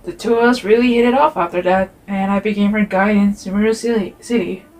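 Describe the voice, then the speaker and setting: An easygoing young desert man voiced by a woman talks in a relaxed, sociable way at a middling pitch. It is fan-dubbed fantasy game dialogue, with faint music underneath. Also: a little fast, natural voice. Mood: casual, thoughtful.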